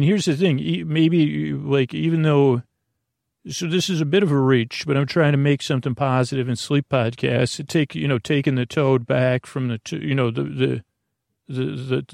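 A man rambling through an improvised sleep podcast monologue, halting, apologetic and self-deprecating, often trailing off and circling back. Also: medium pitch, vocal fry, a little mellow, dry recording, clean studio sound. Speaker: podcast host.

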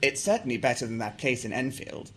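Guy reading as sweet polite old mum, mild, soft, not much of a put-on. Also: mid-range voice, sing-song, fairly quick, slight background noise. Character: gentle elderly mother moving into a care home.

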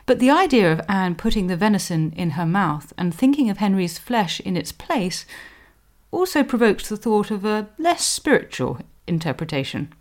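A woman presenting a scripted history explainer in a measured, scholarly manner, giving emphasis to quotations. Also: mid-range voice. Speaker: podcast host, historian.

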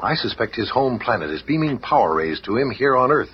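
An actor playing an earnest, authoritative scientist and superhero leader in a radio drama, speaking precisely. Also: male voice, mid-range voice, very animated, brisk, crowd noise, lightly acted. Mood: earnest, determined. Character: calm, commanding male scientist superhero leader. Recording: muffled, hissy old radio recording.

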